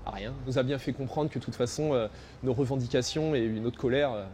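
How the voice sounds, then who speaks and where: Fast, bitter-sounding man. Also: medium pitch, mellow, a little hiss or hum. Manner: bitter, sarcastic. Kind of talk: street interview.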